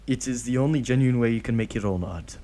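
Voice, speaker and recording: A man's voice: mid-range voice, fast, mellow, close to an everyday voice. A man voicing a weary adult ninja who turns a child away firmly. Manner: firm, tired, lightly raised.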